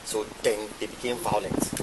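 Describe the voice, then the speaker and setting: A man, a hospital staff member, giving a measured, halting spoken account in conversation. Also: fairly low pitch, flat delivery. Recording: very loud ambient background noise.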